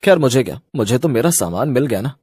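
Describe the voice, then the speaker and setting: A man plays an anxious everyday father in a cartoon horror tale. His voice is husky and swings animatedly as he sounds puzzled and worried, recorded dry. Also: mid-range voice, very animated, fast, warm tone, no room sound. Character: anxious, bewildered ordinary husband and father shopping. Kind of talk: cartoon horror story character acting.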